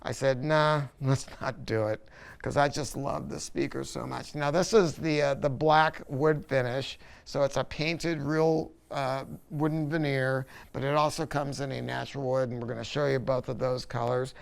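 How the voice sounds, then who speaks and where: A man's voice: medium pitch, steady tone, moderate pace, gravelly, smooth. A man presenting a casual product review in a folksy, colloquial, emphatic salesman's way. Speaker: audio shop presenter reviewing a loudspeaker.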